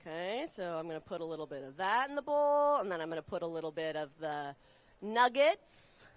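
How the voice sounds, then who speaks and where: A woman chef raised in Texas, explaining a recipe step by step while cooking on a live show, chatty and quick to laugh. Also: lowish voice, big pitch swings, no echo. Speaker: guest chef. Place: studio kitchen.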